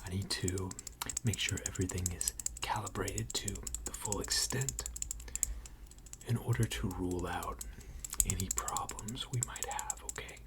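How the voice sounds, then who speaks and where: A person whispering an ASMR repair roleplay in a breathy, gentle and reassuring way, with unhurried, hesitant pauses. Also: sits low, fairly even intonation, noisy background. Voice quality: breathy, mostly unvoiced whisper. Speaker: ASMR creator role-playing a robot repair technician.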